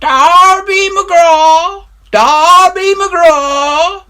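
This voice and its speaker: A ghostly dead sea captain, very high and thin. The trembling voice wails and stretches every word out slowly, eerie and storybook-like. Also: male voice, pushed and bright, full character voice. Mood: wailing. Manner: drawn-out wailing, sung or hailed.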